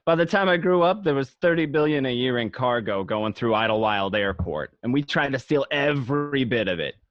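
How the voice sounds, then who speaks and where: A dull, close and dry lo-fi recording of a man acting as a gangster telling his own story in a radio drama. His pitch is middling and he recalls his life of crime in the first person. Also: lively intonation, brisk, no echo, lightly acted. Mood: reminiscing. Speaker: amateur actor.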